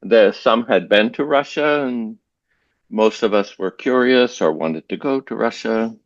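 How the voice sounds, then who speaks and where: A man's voice: on the low side, a little slow, dry recording, dull audio. A man, a guest, chatting casually on a video call, rambling and restarting sentences as he recalls things. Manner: relaxed, rambling, with frequent restarts. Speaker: interview guest, language learner. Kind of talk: casual conversation.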